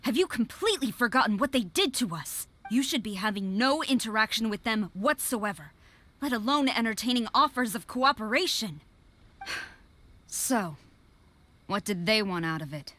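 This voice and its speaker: A woman's voice: a little high, animated, moderate pace, bright, forceful tone. A woman voicing a proud, disciplined military general who speaks formally and gives orders in a game. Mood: stern. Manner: formal, commanding, clipped. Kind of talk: game voice acting.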